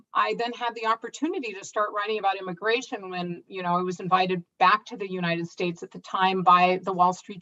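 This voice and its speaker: A middle-aged woman from Brazil moderates a panel and talks about herself in a thoughtful way, pausing often on fillers. Her voice sits in the middle range for a woman. The sound is muffled and a little dull. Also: mid-range voice, a bit bright. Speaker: moderator, journalist. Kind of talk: panel discussion. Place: event stage.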